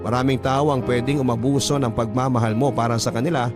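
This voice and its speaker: A male radio drama host with a mid-range voice narrates a listener's letter. His delivery is scripted, dramatic storytelling, set over clearly audible music. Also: medium pitch, fairly even intonation, fast. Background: background music.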